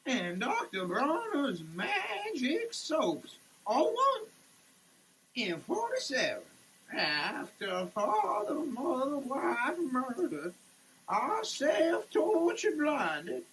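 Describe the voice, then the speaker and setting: A woman giving a dramatic reading in a theatrical, halting, declamatory manner. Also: high-pitched, a little hiss or hum, rough recording.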